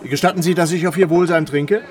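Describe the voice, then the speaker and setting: Casting: male actor as polite gentleman traveller for radio drama, slightly high voice, formal, inquisitive. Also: fairly even intonation, fast talker, crowd noise, lightly acted. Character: courteous, inquisitive gentleman traveller dining at an inn. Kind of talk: German radio play acting.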